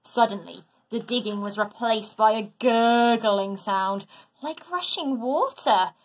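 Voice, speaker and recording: A woman teacher narrating a children's story aloud in a dramatic, deliberate, expressive way. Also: a little high. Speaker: teacher reading to a class.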